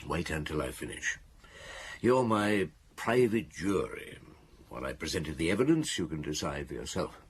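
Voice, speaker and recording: An actor playing a seasoned, thoughtful French detective in a radio drama, speaking in a deliberate, authoritative way. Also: male voice, very deep voice, big pitch swings, slightly rough, exaggerated voice. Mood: measured, instructing.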